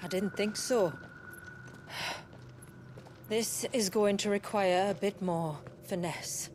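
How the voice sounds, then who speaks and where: An actress playing a guarded, sharp-tongued young sorceress with a dry, deliberate manner in a fantasy game. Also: female voice, mid-range voice, fairly even intonation, background noise, natural voice. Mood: curt, reproving. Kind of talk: dramatic fantasy video game acting.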